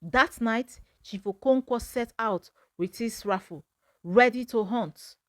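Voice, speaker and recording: Slow, higher-pitched woman telling a folktale. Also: a bit bright, dry recording, studio quality. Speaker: storyteller. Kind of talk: scripted folktale narration.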